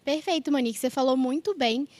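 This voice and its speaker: A woman's voice: high-pitched, fast, darkish tone, no echo. A woman with a Brazilian accent hosting a podcast, talking conversationally and politely as she explains her way into each question. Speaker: podcast host. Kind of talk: conversational interviewing.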